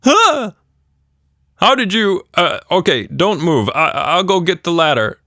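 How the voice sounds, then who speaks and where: A man voices a storybook dad who is flustered and a little skeptical. He speaks up in a raised, animated pitch, hesitating and humoring his child. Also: unhurried, no echo, studio quality, full character voice. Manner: hesitant, stammering, indulgent. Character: flustered, mildly skeptical suburban dad of a young girl.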